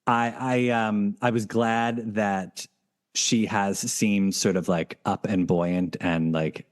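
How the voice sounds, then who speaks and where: A man with a very deep voice hosting a casual podcast conversation. He talks in long, rambling turns and often restarts his sentences along the way. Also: fairly even intonation, slow pace. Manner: rambling, full of fillers and restarts. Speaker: podcast host.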